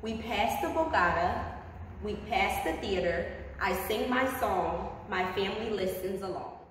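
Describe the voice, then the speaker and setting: A woman's voice: medium pitch, a little slow. A woman reading a children's story aloud in a heavily reverberant space, away from the microphone. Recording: very echoey room, distant mic, faint noise.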